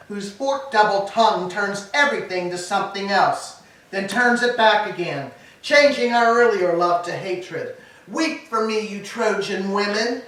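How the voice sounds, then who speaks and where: A woman playing a grieving, proud old enslaved queen, declaiming laments in a staged reading of Greek tragedy. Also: lowish voice. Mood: anguished, bitter. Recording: distant, echoey hall with background noise.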